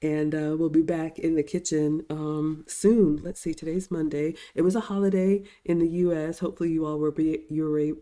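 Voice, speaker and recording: A middle-aged woman with a slightly raspy voice, chatting casually on a livestream as she reads out viewer comments, still getting over a cold. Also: sits low, little pitch movement, normal speed, hardly any pauses, warm tone. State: recovering from a cold. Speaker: livestream host and etiquette teacher.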